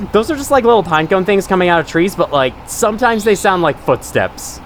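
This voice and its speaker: A young man talks through a game in loose, rambling commentary, swearing now and then. His nose sounds stuffy, and his pitch swings widely up and down as he chats. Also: lively intonation, moderate pace, a little hiss or hum. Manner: rambling, chatty, swears casually. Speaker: gaming channel host. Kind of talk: casual let's-play commentary.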